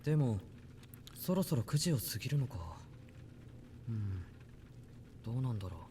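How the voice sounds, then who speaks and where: An actor playing a mild, patient country schoolboy in a visual novel. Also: male voice, mid-range voice, sing-song, fast, mellow, a little hiss or hum, natural voice. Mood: pensive. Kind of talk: Japanese visual novel voice acting.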